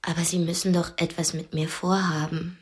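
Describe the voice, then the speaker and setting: An actress playing a frightened but defiant young captive woman in a radio drama, breathy and pointed in her speech. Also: lowish voice, flat delivery, moderate pace, close to an everyday voice. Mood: quiet, probing. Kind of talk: German radio drama dialogue.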